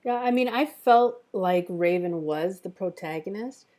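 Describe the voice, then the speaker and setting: A woman in a casual script discussion, giving her view in a measured, tactful way. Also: mid-range voice, dark tone. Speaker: script table read panelist.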